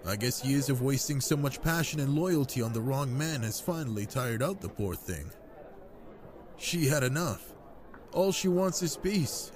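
Casting: male actor as a charming god of wine and revels, very animated mid-range voice, playful and seductive, audio roleplay. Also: mid-range voice, lightly acted. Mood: gossipy, amused.

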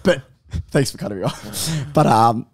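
A man's voice: medium pitch, lively intonation, normal speed, a crowd in the background. A man hosting a podcast, talking casually and eagerly prompting his guests. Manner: eager, keeps prompting the others. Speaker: podcast host.

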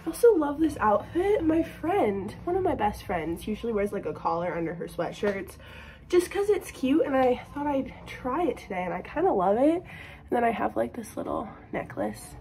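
A woman's voice: a little high, very animated, normal speed, dark tone. A teenage girl vlogging to her phone, chatting upbeat and rambling with lots of fillers. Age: teens. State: losing her voice. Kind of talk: casual vlog chat. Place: bedroom at home, sometimes outdoors or in a car. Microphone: phone camera held close.

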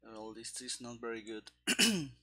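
A man in his thirties talks himself through live coding at a slow, halting pace. His voice is bright and sharp, picked up on a headset mic. Keys clatter and click behind his muttered working-out. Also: medium pitch, animated. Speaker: programmer streaming game development. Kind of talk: thinking aloud while live coding. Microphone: headset microphone. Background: keyboard typing and clicking.

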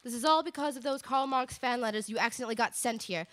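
A young woman playing a suspicious, businesslike wife with a brisk, accusing manner in a comic radio soap parody. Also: fairly high pitch, fairly bright tone, lightly acted. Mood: exasperated. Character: suspicious, businesslike middle-aged wife, Mrs. Claus. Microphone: stand microphone in small radio studio.

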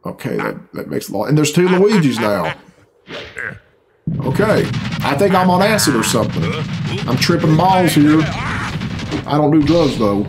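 Blunt man with a higher-pitched voice, music behind. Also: sing-song, unhurried, long pauses. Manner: blunt, sweary, bemused. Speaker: reaction video host. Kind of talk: casual, unscripted reaction commentary. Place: small room at home, indoors. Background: game cutscene music.

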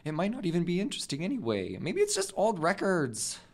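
A man's voice: high voice, wildly swooping pitch, a little fast, exaggerated voice. A man playing a cautious, anxious teenage brother in an audio drama. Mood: hesitant, worried.

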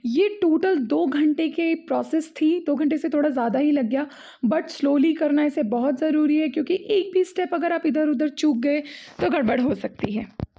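A woman's voice: high voice, moderate pace, mellow, lo-fi sound. A young woman with an Indian accent narrating a casual beauty vlog, switching between two languages as she talks. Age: young adult. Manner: switches between Hindi and English. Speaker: beauty vlogger.

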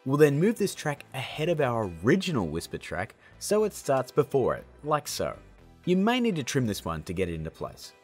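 A man's voice: medium pitch, huge pitch swings, moderate pace, a little mellow, slightly rough, faint music behind. A man presenting a casual how-to tutorial in an upbeat, chummy manner. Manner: upbeat, chummy, addressing viewers as guys and gang. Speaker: tutorial host.

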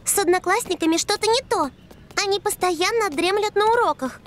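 A woman's voice: very high voice, a little fast. A woman playing a determined, inquisitive little schoolgirl in a cartoon dub. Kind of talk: animated series dub acting.

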